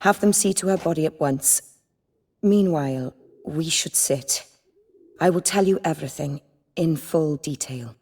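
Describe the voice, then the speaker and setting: A cool, worldly noblewoman in a fantasy game. Her voice sits slightly low and is recorded close and dry. She speaks with elegant, measured formality. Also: a little mellow, no echo. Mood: cool composure. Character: poised, worldly aristocratic lady, cool and self-assured. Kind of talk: video game voice acting.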